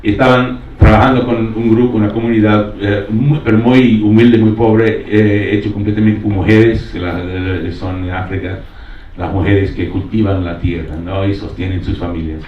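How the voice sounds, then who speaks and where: A man's voice: fairly low pitch, level tone, normal speed. A man who is not a native speaker, talking reflectively on a panel as a documentary filmmaker, hesitantly searching for words. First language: not Spanish. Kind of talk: reflective panel talk with personal account. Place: roomy panel venue. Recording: echoey, distant mic, dull, faintly noisy.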